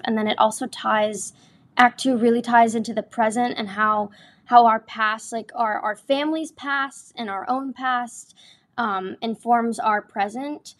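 A young adult woman stage actor, a guest in a casual interview, talking earnestly and enthusiastically with frequent restarts. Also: fairly high pitch.

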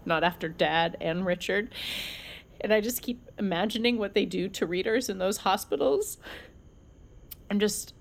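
A woman acting an anxious, protective older sister in a fiction podcast, earnest and halting when emotional. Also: mid-range voice, vocal fry, slight background noise. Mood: tearful, afraid.

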